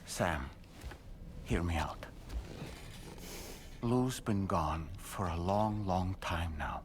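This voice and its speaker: Low-voiced, gentle, grave therapist man. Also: animated, a little hiss or hum, full character voice. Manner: soft, measured, careful phrasing. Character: gentle, grave male therapist, measured and confiding. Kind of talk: video game cutscene acting.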